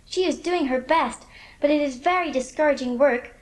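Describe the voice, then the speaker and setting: Woman acting as precocious orphan girl, very high voice, earnest, dramatic, wordy, audiobook drama. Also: normal speed, lightly acted. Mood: earnest pleading.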